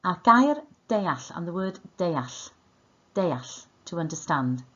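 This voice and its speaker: A Welsh woman speaks as a teacher, in a fairly low voice. She gives clear instructions for an online language lesson and repeats words so learners can follow. The recording is slightly dull and lo-fi. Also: big pitch swings, slow, a bit bright.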